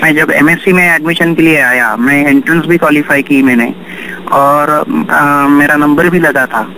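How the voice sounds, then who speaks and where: A man's voice: on the high side, steady tone, normal speed, bright, forceful tone, rough recording. Man answering interview questions, faint noise. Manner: mixes English terms into speech. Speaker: interview guest, university coordinator. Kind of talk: interview answers, explaining own experiences.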